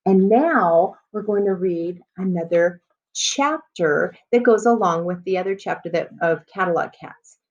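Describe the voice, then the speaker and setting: A woman's voice: on the low side, measured pace, dull audio. A woman elementary school teacher leading a read-aloud lesson for children, explaining and addressing her listeners as she goes.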